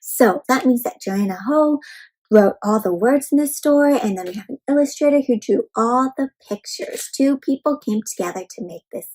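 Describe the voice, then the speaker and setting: High pitched lady doing a storybook read aloud for little kids, chatting to them like friends, lots of questions. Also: animated, moderate pace, darkish tone, slightly rough, rough recording. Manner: asks the listeners frequent questions; addresses listeners as friends. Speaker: children's read-aloud host. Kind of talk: interactive storybook read-aloud for young children.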